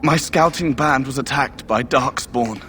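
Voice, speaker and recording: An actor playing a wounded, frightened soldier in a video game, halting and pleading for help. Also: male voice, mid-range voice, a bit bright, slight background noise, close to an everyday voice. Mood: pained, pleading.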